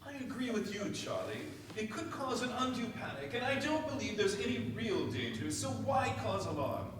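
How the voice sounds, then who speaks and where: A proper, questioning lawyer played by an actor in a staged radio play. The voice moves animatedly in pitch. It is distant, very echoey and has clear background noise. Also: big pitch swings, moderate pace, close to an everyday voice. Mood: reassuring. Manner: formal, questioning. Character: proper, cautious male lawyer for an estate.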